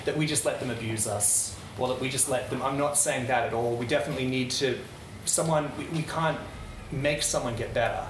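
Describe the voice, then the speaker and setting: A man's voice: medium pitch, steady tone, a little fast, few pauses. A man who grew up in the Netherlands speaks to a small group in a passionate, rambling way, restarting his sentences often. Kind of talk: earnest unscripted talk. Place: room with a live audience. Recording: roomy, distant mic, noisy.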